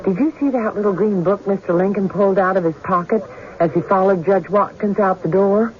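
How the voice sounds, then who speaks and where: A man's voice: sits high, a little fast, lightly acted. A man playing an anxious, watchful onlooker at a trial in a radio drama. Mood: anxious, curious. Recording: dull, faintly noisy radio recording.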